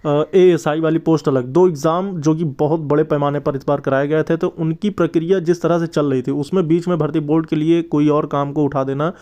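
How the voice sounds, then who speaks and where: A man with a smooth, mellow voice hosts an informal update for his viewers. He sits a little high for a man and explains things in an earnest, wordy way, often circling back over his points. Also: steady tone, brisk, few pauses. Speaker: exam-news channel host and tutor.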